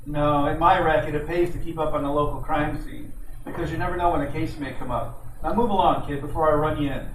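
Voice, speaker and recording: A hard-boiled, flirtatious private detective acted by a man from a 1940s-style radio mystery. His voice sits a little high and his reading stumbles slightly. It echoes around a noisy room. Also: steady tone, fairly quick, far from the mic, close to an everyday voice. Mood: tough, bossy. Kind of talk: 1940s detective radio drama narration and dialogue.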